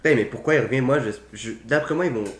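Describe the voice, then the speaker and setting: A man's voice: mid-range voice, fast talker. A young man chats casually about films, opinionated and slangy, with mild swearing. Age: young adult. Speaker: podcast co-host. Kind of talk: casual film chat. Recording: roomy echo, faint background noise.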